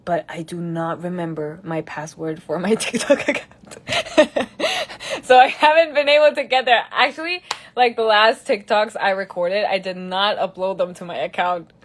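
A woman's voice: medium pitch, moderate pace. A young woman from Mexico, chatting casually and giggling as she answers fans on a phone livestream from a large indoor room. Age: young adult. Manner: giggly, chatty, switches between English and Spanish. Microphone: phone camera at arm's length.

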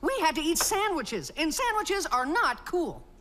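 An actor playing an indignant, goofy young mutant turtle in a cartoon, speaking in a whiny, emphatic way. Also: male voice, very high-pitched, big pitch swings, measured pace, background noise, full character voice. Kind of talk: animated cartoon acting. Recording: long reverb, faint music behind.

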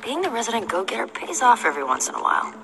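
An actress playing a confident young adventurer in a video game, teasing and self-assured in manner. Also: female voice, on the high side, wildly swooping pitch, racing, vocal fry, full character voice. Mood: boastful. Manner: cocky, playful, self-assured. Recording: reverberant cave effect, faint music.

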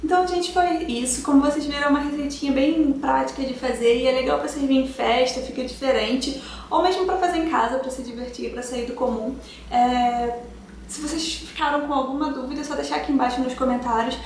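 A woman's voice: high-pitched, brisk, darkish tone, echoey room, a little hiss or hum. A young woman in her twenties from Brazil, chatting through a cooking tutorial in her home kitchen and explaining each step to her viewers. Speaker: cooking vlogger.

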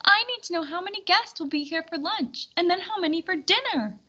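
A woman's voice: extremely high pitch, expressive, a little fast, sharp tone, lo-fi sound, pitched above the performer's own voice, full character voice. A woman voicing a harried, fretful medieval lady planning a feast in a storybook. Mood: flustered. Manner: exclaiming, fretful.

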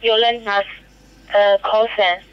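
A woman from China with a Chinese accent making a ham radio call in halting, careful English over a digital two-way radio. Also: medium pitch, fairly even intonation, smooth. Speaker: amateur radio operator. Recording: dull, band-limited digital radio transmission.

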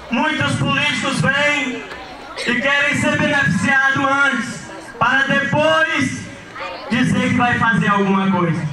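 Brazilian man from Maranhão, young, high and declamatory, street speech through an echoey PA, caught from afar. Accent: Brazilian Portuguese, from Maranhão. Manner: declamatory, often raising his voice. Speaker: local community leader giving a speech. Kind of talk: outdoor public speech at a community event. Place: outdoors at night on a street, crowd around. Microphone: handheld mic into a PA system. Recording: echoey PA sound from a far camera, loud ambience.

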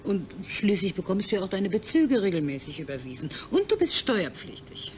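A woman's voice: mid-range voice, big pitch swings, fairly quick, noisy background, natural voice. A woman playing a caring scientist who patiently soothes her patient in a radio drama. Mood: reassuring. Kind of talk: German radio drama acting.

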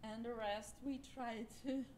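Young woman recording herself on her cell phone, a bit nervous and friendly, slightly high voice. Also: steady tone, unhurried, a little mellow, slight background noise. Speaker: duet partner. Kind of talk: recorded video greeting.

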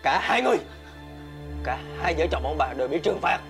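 A man's voice: fairly high pitch, expressive, fairly quick, over music. A man playing a stern, imperious toad spirit in a fairy-tale television drama, with a Southern Vietnamese accent. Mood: angry, threatening. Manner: imperious, commanding. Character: stern toad spirit demanding his promised bride.

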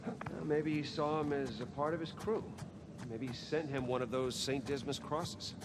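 A man's voice: medium pitch, fairly even intonation, moderate pace, background noise, close to an everyday voice. An actor playing an eager, curious male treasure hunter in a video game cutscene, full of questions.

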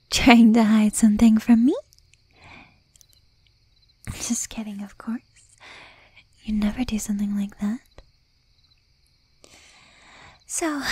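Woman playing a breathy, whispering possessive demon girlfriend. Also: fairly high pitch, darkish tone, no room sound. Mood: sleepy, affectionate. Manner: slow, intimate, with pointed pauses. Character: jealous, possessive young female demon girlfriend, sweet but menacing. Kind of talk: whispered ASMR roleplay.

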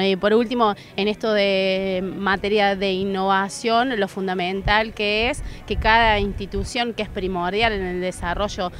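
A woman's voice: mid-range voice, fairly bright tone. A young woman asking questions for a local TV interview, outdoors on a handheld mic. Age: young adult. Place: outdoors at a busy fairground. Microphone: handheld interview microphone.

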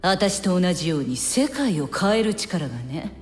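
An actress playing a brash, cynical woman with blunt, mocking, rough speech in an anime game cutscene. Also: on the low side, very animated, unhurried. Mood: taunting. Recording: long reverb, music behind.

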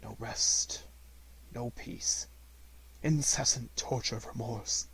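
A man voicing the anguished ghost of a dead miserly businessman in a storybook, lamenting solemnly and slowly, from hushed to loud. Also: medium pitch, fairly even intonation, gravelly, a little hiss or hum, low-quality recording. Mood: hushed, haunted.

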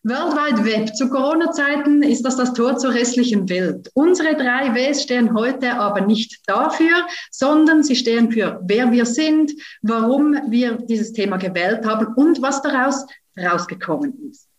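A young woman from Switzerland with a Swiss German accent, presenting her research over a video call in a lively, playful way. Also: fairly high pitch, measured pace. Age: young adult. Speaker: science slam presenter. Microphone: video call, computer mic. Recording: roomy, distant, dull sound.

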